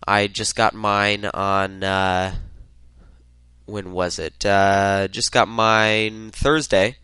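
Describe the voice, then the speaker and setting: A man's voice: fairly low pitch, fairly even intonation, extremely slow, bright, forceful tone, smooth, no room sound, studio quality. A man with a head cold hosting a solo tech podcast, talking loosely with lots of uhs. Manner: rambling, many filler uhs. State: congested from a cold. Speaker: podcast host.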